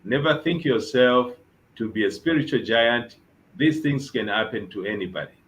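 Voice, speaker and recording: A man's voice: mid-range voice, expressive, moderate pace, a bit bright. A man, a bishop, giving earnest advice on a talk show and repeating his key phrases. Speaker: bishop guest.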